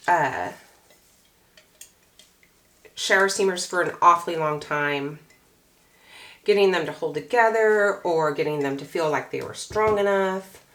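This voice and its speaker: A hoarse woman, middle-aged, chatting casually as she walks through a craft recipe at home, thinking aloud as she goes. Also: fairly low pitch. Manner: chatty, relaxed, thinks aloud while working. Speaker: craft maker. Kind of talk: casual how-to chat.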